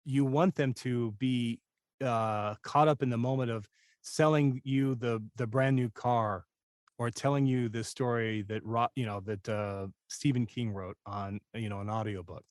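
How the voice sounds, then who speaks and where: A man's voice: mid-range voice, fairly even intonation, a little slow, dry recording. Dry-sounding man, candid and conversational. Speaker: voice-over actor and coach, guest. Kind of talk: casual conversational interview talk.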